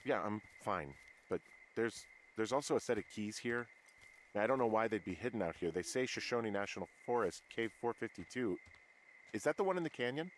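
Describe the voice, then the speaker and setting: An actor plays a middle-aged American man, a fire lookout in a story game. His voice is low, everyday and a little hesitant, wary but steady with a dry streak. Noticeable ambient noise sits behind him. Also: brisk, natural voice. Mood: curious. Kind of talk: naturalistic game dialogue.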